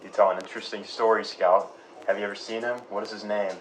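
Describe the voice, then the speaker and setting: A man's voice: on the low side, flat delivery, fast talker, soft background music, close to an everyday voice, low-quality recording. A man playing a polite, curious radio show host in an acted interview, conversational and prompting. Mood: curious, probing.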